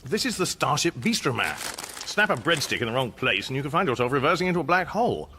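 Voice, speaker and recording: A man's voice: mid-range voice, big pitch swings, fast talker, bright, forceful tone, slight background noise. An actor playing a fretful, awkward, peevish Englishman with an English accent in a radio comedy. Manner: hesitant, peevish. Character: fretful, awkward ordinary Englishman adrift in space. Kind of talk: radio comedy drama acting.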